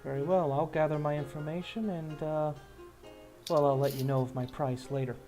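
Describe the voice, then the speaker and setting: A slightly high-voiced, guarded male informant from the criminal side of a fantasy town , played by a man at a game table. He talks in a folksy, measured way, hesitating as he weighs what to share. Soft music plays behind him. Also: fairly even intonation, close to an everyday voice. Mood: businesslike. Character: wary low-life male thief and informant, casual and guarded.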